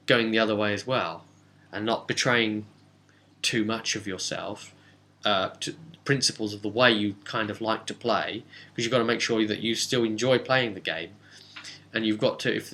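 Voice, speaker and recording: Bright, forceful man telling game stories. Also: lowish voice. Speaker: game master and channel host. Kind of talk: informal recap of a tabletop roleplay session.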